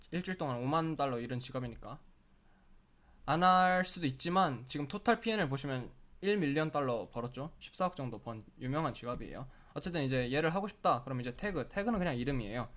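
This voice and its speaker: A man in the middle of a cold, his throat sore and often needing a clear, walks viewers through a screen-share tutorial. He talks in a chatty, explaining way, filling his sentences with little filler words, at an ordinary middle pitch for a man. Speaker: crypto trading tutorial host.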